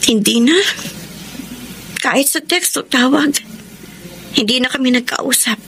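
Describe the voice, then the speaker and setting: An actress with a Filipino accent playing a guilt-ridden, resigned young bride-to-be in a radio drama, speaking in a sorrowful, halting, emotive way. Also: female voice, sits high, a bit bright, a little hiss or hum. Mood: resigned regret. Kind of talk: Tagalog radio drama acting.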